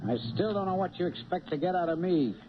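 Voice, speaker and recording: An actor playing a slick, menacing male crook in a 1970s radio drama, sardonic and excitable, swinging between mock surprise and threat. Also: high-pitched, quick pace. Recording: thin phone-like old radio, very loud car noise.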